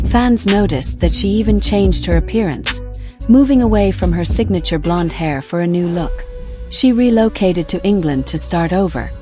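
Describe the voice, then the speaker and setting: Lower-pitched woman narrating over music. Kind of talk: scripted narration.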